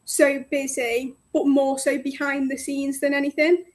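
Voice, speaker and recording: Bubbly high-voiced young woman on the phone. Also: flat delivery, measured pace. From North Wales. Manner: friendly, bubbly, outgoing. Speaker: guest, cleaning business owner. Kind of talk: casual interview chat. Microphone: phone call.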